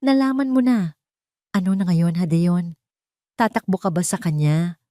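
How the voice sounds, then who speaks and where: A woman's voice: lowish voice, measured pace, dark tone, dry recording, clean studio sound, lightly acted. A woman with a Filipino accent voicing a scheming, bitter, obsessive woman in love in an audio drama, emphatic and theatrical. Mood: bitter, desperate.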